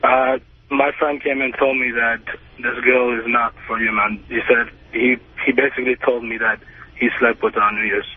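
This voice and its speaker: A man's voice: medium pitch, little pitch movement. Hesitant young man on a tinny phone. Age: early 20s. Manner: hesitant, halting. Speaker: radio show caller. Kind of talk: casual phone confession. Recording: tinny, noisy phone line.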